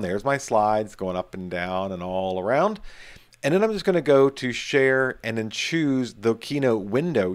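Chatty, lower-voiced male tutorial instructor. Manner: casual, chatty, emphatic repetition. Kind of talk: screen-share tutorial.

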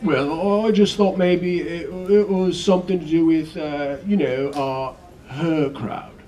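A man's voice: fairly high pitch, takes it slowly, warm tone, far from the mic, a little hiss or hum, voice pushed up. A man voicing a nervous, fussy middle-aged husband who stammers with fillers, in a read-aloud storybook.

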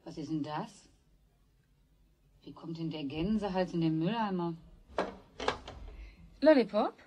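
A woman's voice: on the low side, expressive, a little slow, warm tone, slightly rough, highs cut off. An actress playing a worried, probing mother, reproachful and pleading, in a children's radio play. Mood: suspicious. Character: worried, probing mother of two, office worker.

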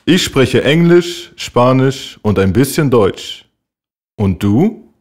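Slow, lively phrase reader, male. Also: mid-range voice. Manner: slow, clearly enunciated model phrases for repeating. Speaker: German language teacher voice. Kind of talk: slow scripted German phrase reading.

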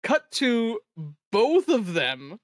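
A person chatting casually as host of a livestream, telling stories in an animated way and swearing freely. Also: mid-range voice, extremely slow, no room sound, studio quality. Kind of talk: casual group chat on a livestream.

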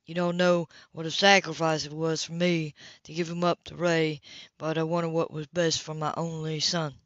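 A woman's voice: low voice, level tone, moderate pace, no echo, natural voice. A woman reading a novel as a man who recites a sly, self-pitying letter. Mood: grim, restrained. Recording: dull lo-fi home recording.

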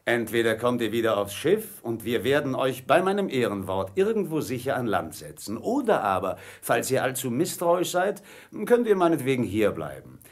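An actor playing a cunning, commanding pirate ringleader in a radio play, theatrical, by turns wheedling and menacing. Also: male voice, medium pitch, huge pitch swings, normal speed, hardly any pauses. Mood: bargaining.

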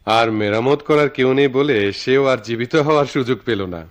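A man's voice: medium pitch, fairly quick, close to an everyday voice. A man with a Bangladeshi accent acting a reassuring young man in love in a radio drama, patient and a little theatrical. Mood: reassuring, playful. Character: reassuring young man eloping with his sweetheart.

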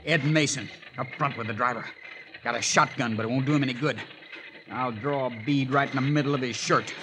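A man's voice: medium pitch, a little fast, close to an everyday voice. A man playing a ruthless western outlaw with a tough, menacing drawl in a 1950s radio drama. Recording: noisy old radio broadcast.

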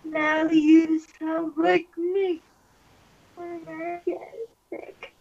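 A woman from the United States in an intimate couple roleplay, cooing in a drawn-out, babyish way on a lo-fi recording. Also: very high voice, level tone, gravelly, darkish tone. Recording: muffled playback of a lo-fi video.

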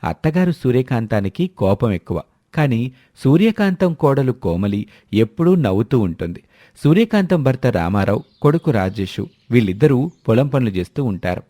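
A man's voice: mid-range voice, mellow, no echo. A man narrating a scripted story as its storyteller.

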